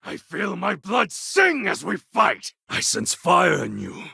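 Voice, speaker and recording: A man playing a proud, fierce warlord in a video game, speaking in a grandiose, commanding way with a husky, gravelly voice. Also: high voice, huge pitch swings, slow, pushed and bright, dry recording, studio quality, heavily acted. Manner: grandiose, commanding, formal phrasing. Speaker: video game character voice actor. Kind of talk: dramatic video game character dialogue.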